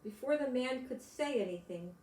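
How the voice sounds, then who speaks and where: An older woman, a grandmother storyteller, reading aloud and chatting to young children in gentle, simple phrases. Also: high-pitched, lively intonation, moderate pace. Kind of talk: children's read-aloud and chat to young listeners. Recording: echoey room with background noise.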